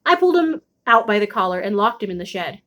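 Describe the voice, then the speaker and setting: A woman reading a children's chapter book aloud expressively, with dramatic pauses and drawn-out emphasis. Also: mid-range voice, sing-song, fairly bright tone. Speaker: storybook read-aloud host.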